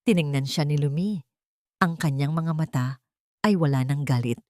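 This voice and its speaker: Low-voiced woman narrating a drama. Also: moderate pace, a little mellow, dry recording, clean studio sound.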